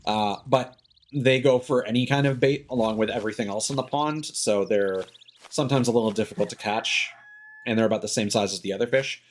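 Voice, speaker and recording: A man giving casual live gameplay commentary, explaining details in a halting way and thinking aloud with asides. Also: on the low side. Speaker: game streamer.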